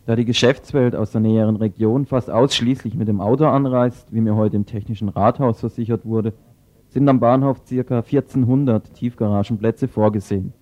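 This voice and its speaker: Mellow man reading a radio report. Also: medium pitch, fairly even intonation, brisk. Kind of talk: scripted news report. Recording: slightly dull lo-fi radio recording.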